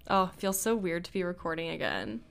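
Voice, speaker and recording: Chatty young woman, lower voice. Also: very animated, fairly quick, vocal fry, clear, resonant tone. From Nebraska, USA. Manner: chatty, laughs often, lots of "like". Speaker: podcast co-host. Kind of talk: casual chat.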